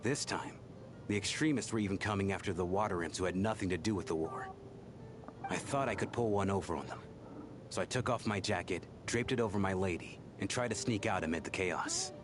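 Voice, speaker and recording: A lowish-voiced, gallant ghost of a bodyguard acted by a man for a video game. He speaks earnestly and wistfully, weighed down by remorse and quick to blame himself. Game music plays clearly behind him. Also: close to an everyday voice. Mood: sorrowful recounting. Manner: earnest, wistful, self-effacing.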